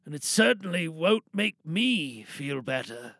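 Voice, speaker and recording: A man acting a remorseful, guilt-laden confessor in an audio drama monologue, reflective and halting, with a creaky voice. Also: fairly high pitch, very animated, pushed and bright, no room sound, full character voice. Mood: remorseful, subdued. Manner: halting, reflective, plain-spoken. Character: remorseful man confessing to having taken a woman's life.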